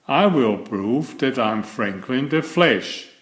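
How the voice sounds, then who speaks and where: Storybook young male turtle, acted by a man: eager, declaring, boastful, lively pitch, slightly echoey room. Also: slow. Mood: determined boasting. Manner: declaring, earnest.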